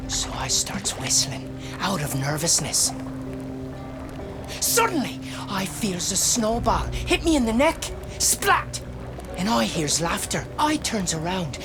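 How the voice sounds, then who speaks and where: A woman voicing a timid, earnest young male soldier with a Newfoundland accent, telling a story in a folksy way in a game. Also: medium pitch. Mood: agitated storytelling. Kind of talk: dramatic game dialogue and storytelling. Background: music.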